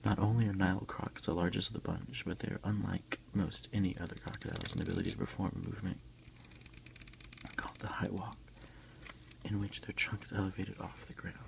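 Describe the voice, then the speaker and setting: A man speaking in a soft, unhurried, hushed whisper close to the microphone, as an ASMR creator reading aloud and commenting for an unboxing. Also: low voice, little pitch movement, creaky, rough recording.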